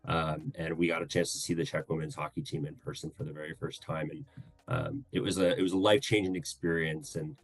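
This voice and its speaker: Earnest man in his thirties, low voice, dry close sound, careful and a little hesitant. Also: fairly even intonation, a little fast, no room sound. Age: 30s. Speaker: guest co-host. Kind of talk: casual podcast introduction.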